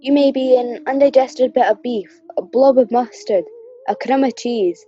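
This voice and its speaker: A woman's voice: on the high side, moderate pace, dark tone, dull audio, a little hiss or hum, close to an everyday voice. A woman playing a miserly, scornful old man in a radio play, with a curt, grumbling delivery. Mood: frightened, defiant.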